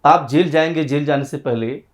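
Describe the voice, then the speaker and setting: A man interviewing a guest for a channel. He has a sore throat, asks drawn-out rhetorical questions and turns to address viewers directly. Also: fairly high pitch.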